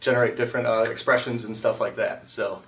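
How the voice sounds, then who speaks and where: A man's voice: mid-range voice, steady tone, quick pace, a bit bright, slight background noise. Casual male animator, off the mic. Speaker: animator interview guest. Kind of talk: casual interview. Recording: slightly distant microphone.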